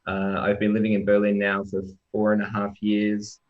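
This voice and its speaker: Low-voiced Australian man on a dull call. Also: completely flat delivery, moderate pace. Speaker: guest software developer. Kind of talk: informal presentation on a video call. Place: remote online live stream. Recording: dull lo-fi video call audio.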